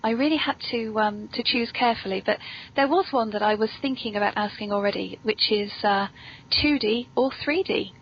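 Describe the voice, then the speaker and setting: A woman's voice: fairly high pitch, moderate pace. A British woman hosting a podcast, chatting casually and emphatically as she interviews a guest about films. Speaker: podcast host. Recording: dull lo-fi audio with clear noise.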